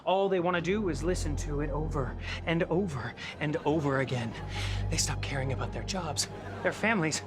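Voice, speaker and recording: A voice actor playing the bossy leader of a council of pop stars, with a brisk, deadpan delivery. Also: medium pitch, over music, close to an everyday voice. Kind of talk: cartoon voice acting.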